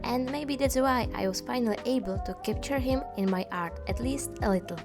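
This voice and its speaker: A woman with a Czech accent narrating her art vlog in a gentle, dreamy, slightly playful way. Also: on the high side, fairly quick. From Czech Republic. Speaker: artist vlogger. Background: music.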